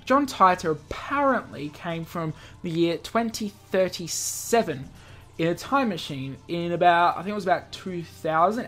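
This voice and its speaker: Guy doing a let's play of a visual novel, reading story bits n chatting, soft game music behind. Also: fairly high pitch. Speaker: gaming YouTuber. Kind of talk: visual novel read-aloud with casual commentary.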